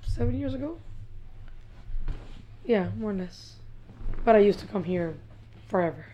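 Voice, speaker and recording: Mellow woman from Ecuador. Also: mid-range voice, expressive, measured pace, a little hiss or hum. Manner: brief, matter-of-fact replies. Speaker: restaurant part owner, guest. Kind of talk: casual interview answers. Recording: dull lo-fi audio.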